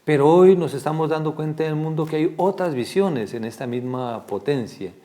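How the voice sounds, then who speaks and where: A man's voice: fairly high pitch, normal speed, dark tone. Man hosting an interview program. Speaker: program host. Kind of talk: interview with long spoken introductions.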